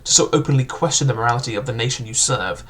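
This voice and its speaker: A young man talks through a book review in an unpolished, conversational way, leaning on "like" and "um" as he hunts for his point. His pitch sits in an ordinary middle range for a man. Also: very fast, a bit bright. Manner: chatty, full of "like" and "um" fillers. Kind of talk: casual spoken book review.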